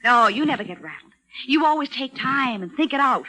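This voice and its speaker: An actress playing a fed-up, sarcastic wife of a crook, biting and nagging, in an old-time radio crime drama. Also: female voice, high-pitched, animated, fairly quick, bright, forceful tone, low-quality recording. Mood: mocking, scolding.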